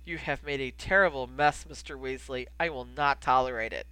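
Narrator playing drunk young man, cheeky, playful, mock-serious, bright tone, animated, storybook dialogue. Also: mid-range voice, measured pace, no echo, voice pushed up, rough recording. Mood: mock solemn.

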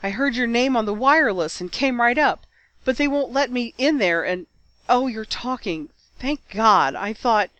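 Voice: mid-range voice, lively intonation, normal speed, sharp tone, no echo, muffled recording, voice pushed up. A narrator voicing an anxious, pleading young woman who is close to tears. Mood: tearful relief. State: tearful.

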